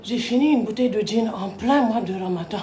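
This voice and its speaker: Woman, high voice, crude rambling rant, lots of swearing, echoey room. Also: a little fast, very noisy. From Côte d'Ivoire. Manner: crude, swearing, wandering storytelling. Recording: roomy echo.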